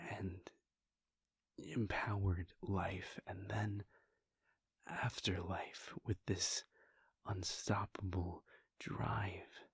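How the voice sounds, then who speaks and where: A man voicing a weary, devoted male vampire prince in a soft, intimate whisper, speaking in slow, halting, heartfelt confessions. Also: low voice, gravelly, husky, dry recording, heavily acted. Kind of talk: whispered audio roleplay.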